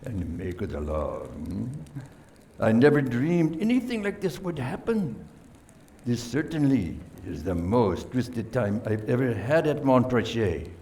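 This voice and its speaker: A man playing a shifty, pompous gentleman murder suspect in an amateur radio play, savoring his words and hesitating. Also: medium pitch, very animated, a little slow, a little hiss or hum. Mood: dramatic dismay.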